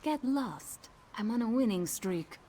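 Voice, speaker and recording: A woman's voice: on the high side, lively intonation, measured pace, dark tone, a little hiss or hum. A woman playing a surly, curt and irritable tavern dice gambler in a video game. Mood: irritated. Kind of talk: video game character dialogue.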